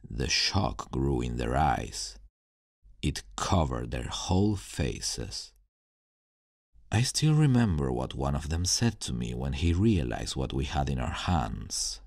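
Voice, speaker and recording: A man playing an embittered scientist turned supervillain in a dramatic ASMR roleplay monologue, telling his story in a brooding, deliberate, theatrical way. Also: a bass voice, sing-song, creaky, a little mellow, no echo, studio quality, exaggerated voice. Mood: grave, intense.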